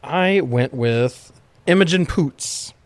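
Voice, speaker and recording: A man's voice: on the high side, animated, slow pace, no room sound. A man co-hosting a podcast, chatting casually and enthusiastically about movies with plenty of swearing. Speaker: podcast co-host.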